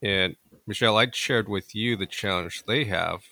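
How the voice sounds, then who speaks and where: A man hosting an interview, setting up a question for his guest in a measured way. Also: lowish voice, sharp tone, no echo. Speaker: podcast host.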